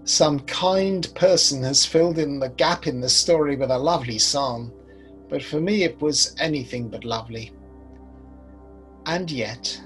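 A man in his sixties playing an older, rueful prophet recalling his past in a measured, reflective dramatic monologue. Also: on the high side. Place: home study, indoors. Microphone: video call.

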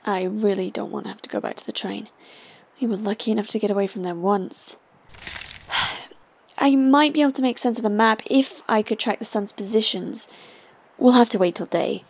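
A woman's voice: mid-range voice, moderate pace, gravelly, husky. An actress playing a cautious, practical young female survivor in an audio drama, speaking in a hesitant, guarded way. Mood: hesitant, worried.

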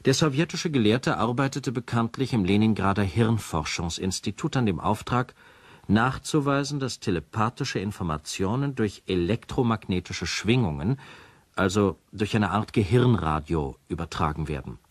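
A man's voice: fairly low pitch, no echo. An actor playing a sober narrator in a radio play, a man reporting formally and matter-of-factly as in a documentary. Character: sober male narrator presenting a recorded conversation as evidence.

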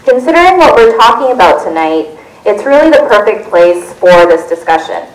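A woman in her forties whose first language is Tagalog, moderating a community panel on a handheld mic and reading measured remarks from notes. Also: medium pitch, very animated, recorded from a distance. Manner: clear, measured, reads from notes. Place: community center hall with audience. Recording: echoey hall, voice a bit off-mic.

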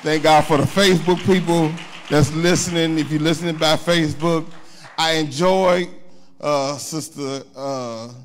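A middle-aged man preaches in a church, talking to the congregation. His voice sits a little higher than most men's. He speaks into a handheld microphone and keeps turning to the congregation with asides. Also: steady tone, measured pace, slight background noise. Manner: conversational preaching with call-and-response asides. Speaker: pastor. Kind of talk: sermon. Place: church sanctuary, indoors.

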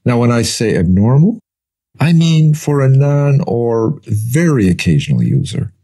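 Male detective sergeant, audio crime drama. Formal, falsely gentle, soft dark tone with rough edges. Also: medium pitch, sing-song. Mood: calm, probing. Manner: measured, formal, probing. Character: deceitfully tame, polite male police detective sergeant. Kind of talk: gritty audiobook drama acting.

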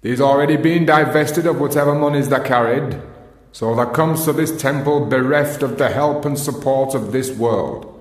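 An actor in a radio play as a solemn Masonic master, speaking in ceremonial, archaic phrases in an echoing hall. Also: male voice, medium pitch, normal speed, lightly acted. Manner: ceremonial, incantatory, archaic diction. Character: solemn Masonic master leading an initiation ritual.

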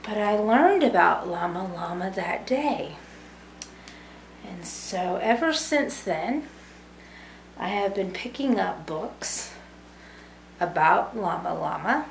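A woman's voice: medium pitch, big pitch swings, slow, pauses often. A woman in her thirties doing a storytime read-aloud, talking simply to young children. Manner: simple, child-directed talk with tag questions. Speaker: student volunteer reading a picture book to children. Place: small room with brick wall, indoors. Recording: echoey room with background noise.

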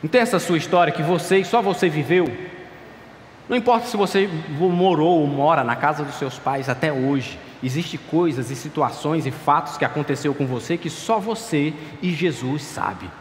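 A man's voice: a little high, normal speed, slight background noise. Man in his thirties preaching passionately. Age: 30s. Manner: passionate, swings from loud pleading to quiet asides. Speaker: pastor. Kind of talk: sermon. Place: large dark church stage at a pulpit. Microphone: stand mic at a clear pulpit. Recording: echoey church hall, faint ambience.

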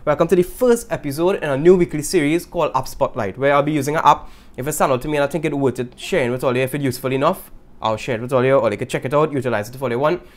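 A young man with a Trinidadian accent, hosting a casual video and talking to camera in an animated way from a small room. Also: medium pitch, very fast. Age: young adult. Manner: animated, gesturing, laughing asides. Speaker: YouTube host. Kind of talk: casual vlog talk to camera. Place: small indoor room with guitars.

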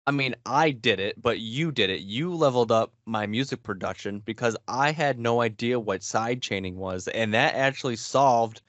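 A man's voice: mid-range voice, normal speed, hardly any pauses, fairly bright tone, dry recording. A young man chatting casually as a podcast co-host, in an everyday conversational way. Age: young adult. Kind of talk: casual chat. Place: small home room, indoors.

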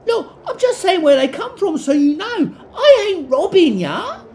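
A man's voice: very high-pitched, huge pitch swings, measured pace, darkish tone, exaggerated voice. A man playing a chatty, defensive working-class plumber in an audio drama, protesting and repeating himself colloquially. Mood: insistent.

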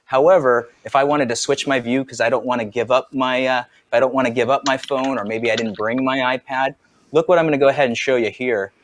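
A man in his forties giving an energetic, conversational product demonstration from a home studio over a video call. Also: mid-range voice, brisk. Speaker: camera company trainer.

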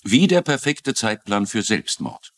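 An actor playing an older retired police lieutenant in a German-dubbed TV crime drama, confiding and wry, with a troubled conscience. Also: male voice, fairly low pitch, big pitch swings, a little slow, a bit bright. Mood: frank, wry.

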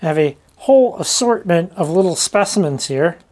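A woman's voice: fairly low pitch, animated, normal speed, darkish tone. A woman vlogger giving a casual hands-on demonstration, informally thinking aloud as she goes. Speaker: rockhounding vlogger.

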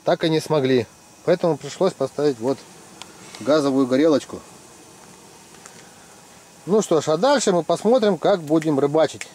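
A man's voice: on the high side, normal speed, long pauses. A middle-aged man chatting casually and teasing his friends while ice fishing in a tent. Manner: joking, teasing friends, lively. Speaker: fishing vlogger. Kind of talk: casual commentary.

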